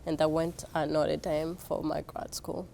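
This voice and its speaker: Deep-ish rwandan woman on a business podcast, lots of ums and restarts. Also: level tone, unhurried, creaky, dark tone. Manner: hesitant, many fillers and restarts. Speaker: program chair, guest. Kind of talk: casual interview answers.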